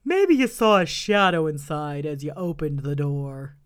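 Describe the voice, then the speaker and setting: A woman voicing unhurried, practical male farmers who sound doubtful, in a storybook folk tale. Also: low-pitched, sing-song, clear, resonant tone.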